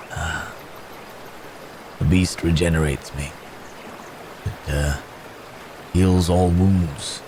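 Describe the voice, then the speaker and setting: A man playing a wounded, gentle cursed werewolf in an ASMR roleplay, halting and hesitant, with pained sighs and hums. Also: a bass voice, noisy background. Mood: reassuring, weary. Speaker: audio roleplay performer.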